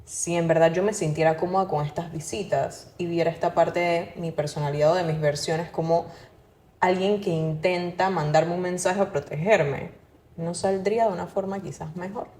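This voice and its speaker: A woman co-hosting a podcast, reflecting casually in a relaxed manner and slipping English words into her talk. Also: on the low side, normal speed. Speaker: podcast co-host. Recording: echoey room.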